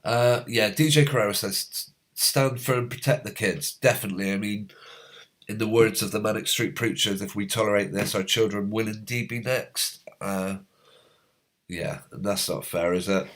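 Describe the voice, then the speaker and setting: A man hosting a live stream, reading out viewers' comments in a halting, rambling way, his voice going hoarse as a cold comes on. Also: lowish voice, fairly even intonation. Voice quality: slightly hoarse. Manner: rambling, halting, many fillers.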